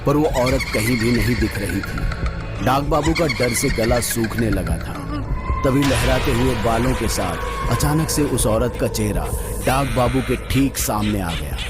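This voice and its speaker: A man with a mid-pitched voice narrates a scripted horror story. His delivery is dramatic and suspenseful, with drawn-out phrases. Music plays clearly behind him. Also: lively intonation.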